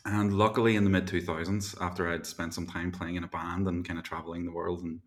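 A man's voice: sits low, little pitch movement, fast talker. A man, chatting as an interview guest over a video call, rambling with lots of fillers and laughs. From Ireland. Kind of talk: casual interview chat.

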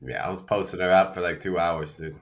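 A man's voice: sits low, fairly even intonation, fairly quick. A middle-aged man co-hosting a podcast in casual chat, teasing and joking with his co-host and guest.

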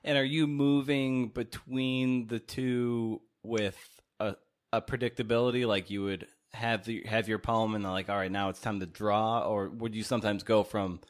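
A man's voice: fairly low pitch, steady tone, normal speed. A man hosting a podcast, asking questions in a conversational interview style.

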